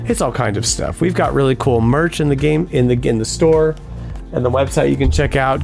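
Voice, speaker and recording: A man hosting a casual podcast, upbeat and chatty, with a hoarse voice tonight. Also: mid-range voice. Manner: upbeat, chatty announcer delivery. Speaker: podcast host.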